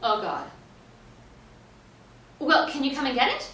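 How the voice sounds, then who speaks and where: An actress playing an agitated woman playwright who pleads and exclaims haltingly in a staged play reading. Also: high voice, wildly swooping pitch, sharp tone, exaggerated voice. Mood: flustered. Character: agitated, anxious woman playwright, talking to herself. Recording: roomy stage, distant mic, faint noise.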